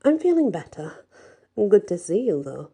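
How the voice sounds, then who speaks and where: A woman plays a stubborn young shifter heroine in a fantasy tale. She speaks wryly and expressively, with a mellow, dark voice and a little creak. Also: medium pitch, very animated, moderate pace, pitched above the performer's own voice. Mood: relieved.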